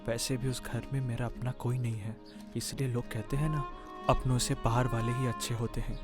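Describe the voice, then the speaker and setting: A man's voice: mid-range voice, little pitch movement, moderate pace, over loud music, natural voice. A man voicing an earnest, plaintive young village man, a wronged stepson in a cartoon moral story. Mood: weary, musing.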